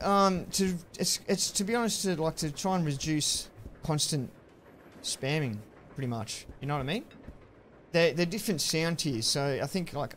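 A man in his thirties with an Australian accent, chatting casually with viewers while streaming a game on a headset mic, relaxed and chatty with sudden loud outbursts. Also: fairly high pitch, slight background noise. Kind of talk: casual live-stream chat while gaming.

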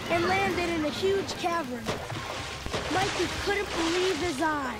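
High-voiced woman reading a storybook. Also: measured pace. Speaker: narrator. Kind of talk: storybook read-along narration. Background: music.

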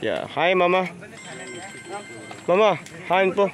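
A man's voice: high-pitched, expressive, slow. A man filming a casual walking vlog on his phone at a rural outdoor fair, chatting and greeting people as he goes. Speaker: vlogger. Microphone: handheld phone camera.

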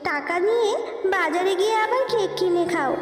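A woman's voice: very high voice, animated, a little fast, roomy sound, big-room sound, far from the mic, soft background music. A woman voicing a kindly, soothing magic tree in a children's cartoon story. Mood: coaxing.

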